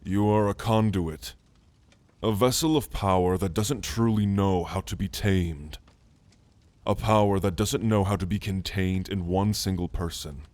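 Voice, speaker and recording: A man voicing a calm, scholarly orc shaman and gentle mentor, speaking in a soothing, measured, formal way in an ASMR roleplay. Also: low voice, no echo. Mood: calm, hypnotic.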